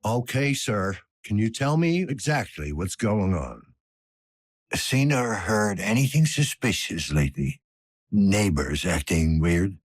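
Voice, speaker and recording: A weary older police detective, deep voice, gruff and terse, varied intonation, video game dialogue. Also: male voice, animated, slow pace, dry recording, studio quality. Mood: concerned, questioning. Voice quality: husky, gravelly. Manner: terse, world-weary, low-key delivery.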